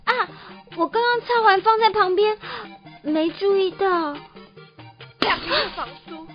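Casting: a woman acting a cutesy, excitable young female bar worker, very high voice, Taiwanese accent, radio-play delivery over music. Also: unhurried. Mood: curious, smitten. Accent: Taiwanese Mandarin. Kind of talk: audio drama acting. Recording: slightly dull audio with music. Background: background music.

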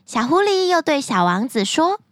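A woman narrating a fairy tale as a bedtime story, gently and expressively, close to the mic. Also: sits high, big pitch swings, moderate pace, no echo.

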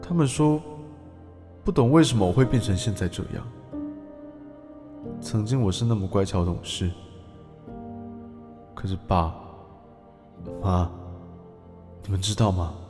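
An actor playing a lonely, troubled young son in a radio drama monologue, introspective and earnest. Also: male voice, fairly low pitch, a little slow, long pauses, natural voice. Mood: dejected, confessional. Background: music.

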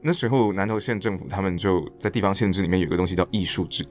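Man, Taiwanese Mandarin accent, low-pitched, reflective casual storyteller, interview guest, soft music behind. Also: racing. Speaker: interview guest, stage director and actor.

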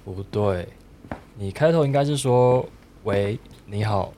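A man's voice: lowish voice, slow, mellow, slight background noise. A young man with a Taiwanese accent acting a lovestruck, teasing student in an audio drama. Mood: playful teasing. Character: lovestruck teasing male student narrating from hospital. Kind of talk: audio drama narration and dialogue. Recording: roomy echo, music behind.

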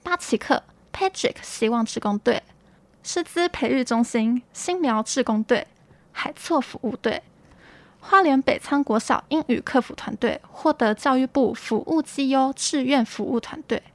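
A young woman reads scripted news in Taiwanese-accented Mandarin. Her voice sits a little high. The sound is very dry, with no room around it. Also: measured pace, no room sound. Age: young adult. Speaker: student news presenter. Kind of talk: scripted campus news reading.

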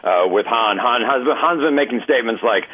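A man chatting casually about politics as a commentator, relaxed and conversational, heard over a phone line. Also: mid-range voice, slightly rough. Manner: relaxed, conversational, quick to agree.

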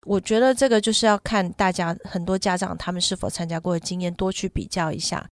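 A woman's voice: fairly low pitch, steady tone, fast talker, no echo, studio quality. A middle-aged woman in casual podcast conversation, explaining things at length with examples. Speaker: podcast guest and parent who runs children's summer camps.

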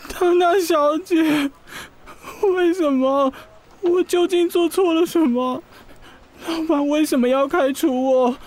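High-voiced, tearful young intern. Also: female voice, slow pace, a little mellow. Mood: distraught, tearful. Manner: plaintive, bewildered. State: crying. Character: naive, tearful young fashion magazine intern. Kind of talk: radio drama acting.

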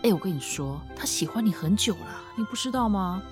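A woman's voice: medium pitch, lively intonation, fairly quick, music in the background, close to an everyday voice. A woman acting a nosy, bubbly young office gossip in a radio drama, teasing and full of exclamations. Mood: gossipy.

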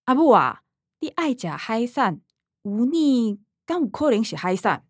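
A woman's voice: fairly high pitch, sing-song, unhurried, no echo, studio quality. A woman playing a middle-aged, self-righteous, image-conscious daughter in a radio drama, earnest and scolding, with a Taiwanese accent. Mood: coaxing.

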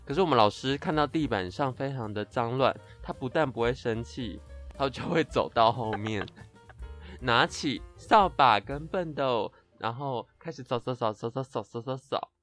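A man's voice: mid-range voice, normal speed, a bit bright, soft background music. A young man with a Taiwanese Mandarin accent co-hosting a podcast, telling chatty comic stories in a playfully exaggerated way. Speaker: podcast co-host. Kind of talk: chatty comic storytelling in a two-host podcast.

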